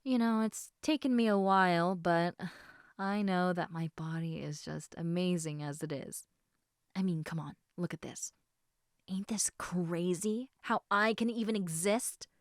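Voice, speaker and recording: A woman voicing an affectionate, teasing half-snake lamia in a casual, intimate audio roleplay, chatting informally with halting, trailing thoughts. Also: lowish voice, no room sound, studio quality. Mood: content, chatty.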